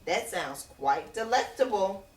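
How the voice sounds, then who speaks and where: Woman reading as a hungry storybook vendor man, animated. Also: mid-range voice, unhurried, close to an everyday voice. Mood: eager. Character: hungry, appreciative male hot dog vendor in storybook. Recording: roomy echo, distant from microphone.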